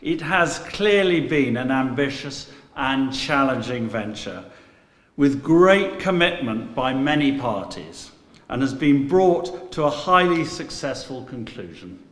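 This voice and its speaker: A man giving a courteous, formal speech of thanks as a guest dignitary in a parish church, with measured phrasing. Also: medium pitch, animated, a bit bright. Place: inside a parish church, before an audience. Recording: echoey church with long reverb.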